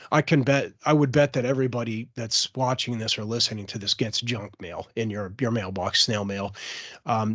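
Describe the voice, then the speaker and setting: A man presenting a cybersecurity webinar, a little hoarse from a cold, explaining with frequent fillers and restarts. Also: medium pitch, fairly bright tone, dry recording.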